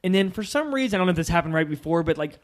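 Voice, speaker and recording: Higher-voiced man chatting casually. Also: lively intonation, racing. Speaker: film podcast co-host. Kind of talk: casual chat, recapping a film.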